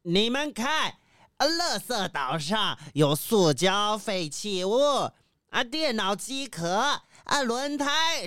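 A man's voice: sits high, pushed and bright, clear, resonant tone, no echo, studio quality, heavily acted. A man playing a folksy, plain-spoken ship captain in a children's radio drama, drawing out his exclamatory sentence endings.

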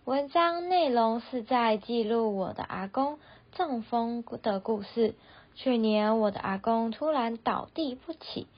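A primary school girl reading a scripted personal account aloud. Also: a little high. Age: child, primary school pupil. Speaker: student essay author. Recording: slightly dull lo-fi recording.

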